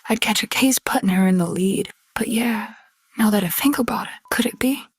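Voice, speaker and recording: A woman's voice: medium pitch, brisk, slightly rough, dry recording, lightly acted. A woman playing a proud, irritated, slangy nightclub owner in a noir radio drama. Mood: indignant, suspicious. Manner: sassy, slangy, commanding. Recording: loud music under the voice.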